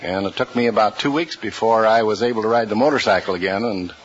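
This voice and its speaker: A man in his fifties, a quiz show contestant, telling a story in a halting, plain way with many pauses. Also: on the low side, lo-fi sound. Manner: hesitant, plain-spoken, many uhs. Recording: old 1940s radio broadcast with studio audience.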